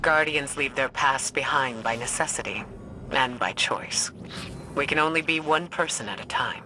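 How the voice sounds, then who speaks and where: A woman voicing a composed military advisor in a video game, measured and matter-of-fact. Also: extremely low pitch, noisy background. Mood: reflective. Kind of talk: scripted video game dialogue. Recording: loud music behind.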